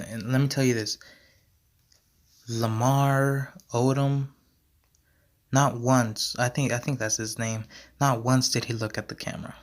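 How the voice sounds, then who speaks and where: A young man hosting his own podcast, rambling in a slangy, repetitive way and going hoarse as he talks. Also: mid-range voice. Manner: slangy, repeats himself, many fillers. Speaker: podcast host. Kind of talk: casual rambling monologue.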